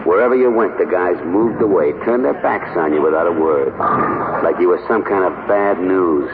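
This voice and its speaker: Low, tinny, taunting inner voice. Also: male voice, moderate pace. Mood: mocking. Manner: mocking, needling. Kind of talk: old-time radio drama narration. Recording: tinny, phone-like old radio recording. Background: loud music.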